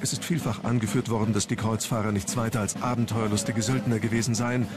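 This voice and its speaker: Man, lowish pitch, scripted documentary narration, prominent loud music underneath. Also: little pitch movement, fast. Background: loud background music.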